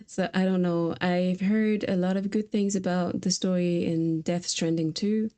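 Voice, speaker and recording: A woman's voice: lowish voice, fairly even intonation, measured pace, warm tone, clear, resonant tone. A woman streamer chatting casually about video games in a conversational, easygoing way. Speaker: streamer host.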